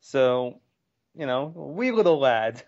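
A man in his twenties chatting on a podcast in a relaxed, reminiscing way. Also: medium pitch, slow pace, lo-fi sound. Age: 20s. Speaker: podcast co-host. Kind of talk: casual podcast chat.

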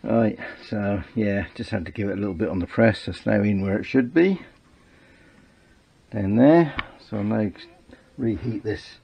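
A man's voice: lowish voice, measured pace, creaky, highs cut off, background noise. A man thinking aloud with fillers as he works on an engine in his home workshop, filmed by a camera mic off to the side. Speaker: home mechanic filming his engine rebuild. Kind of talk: casual workshop commentary while working.

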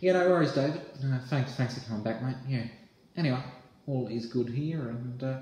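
A man's voice: medium pitch, fairly quick, darkish tone. A young Australian man chatting casually as an amateur radio operator, using radio jargon. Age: young adult. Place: small indoor room. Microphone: phone at arm's length. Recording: roomy echo, slight hiss.

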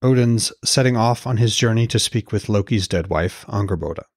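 A man's voice: lowish voice, normal speed, no echo, clean studio sound. A man hosting a podcast and reading scripted narration as author and narrator.

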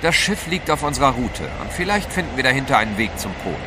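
Man acting a lively, decisive gentleman adventurer. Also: medium pitch, fast talker, natural voice. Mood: resolute. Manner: polite, decisive. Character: determined, composed gentleman adventurer leading a polar expedition. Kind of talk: audio drama acting. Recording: clear outdoor background noise.

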